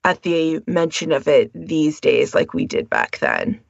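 A woman's voice: low voice, huge pitch swings, measured pace, vocal fry, dry recording, lo-fi sound. A woman co-hosting a podcast, explaining her thoughts at length in a casual panel discussion. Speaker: podcast co-host.

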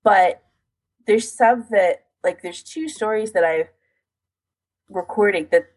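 A woman's voice: medium pitch, slow, darkish tone, husky, poor audio. A woman co-hosting a casual podcast, chatting and explaining her routine in detail in an informal way. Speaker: podcast co-host.

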